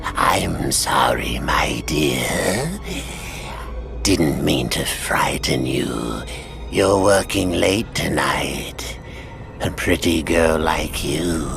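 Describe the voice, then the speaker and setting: Slow, gravelly, sinister old villain. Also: male voice, a bass voice, exaggerated voice. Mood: creepy courteous. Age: older. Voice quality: gravelly, breathy. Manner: slow, menacing, falsely gentle. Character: sinister, courteous old villain scheming for power. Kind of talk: theatrical video game voice acting. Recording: echoey, loud music behind.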